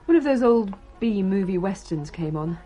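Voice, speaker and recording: An actress as a daughter narrating her family's haunting in a radio play. She speaks at a middle pitch, emphatic and vivid, sounding exasperated and shaken by it all. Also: animated, normal speed, warm tone, faint music behind, close to an everyday voice. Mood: shaken, urgent.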